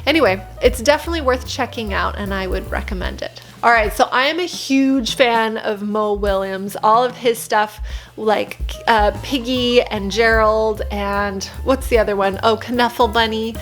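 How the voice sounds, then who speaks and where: A woman's voice: on the high side, normal speed, soft background music. A woman chatting casually and expressively, recommending picture books like an enthusiastic preschool teacher.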